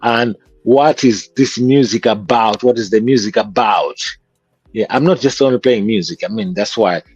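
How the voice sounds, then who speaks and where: A man's voice: lowish voice, normal speed, darkish tone, breathy edge, no echo, lo-fi sound. A man with a Kenyan accent, a guest musician telling stories from his childhood in an interview. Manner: reflective, storytelling. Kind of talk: interview conversation.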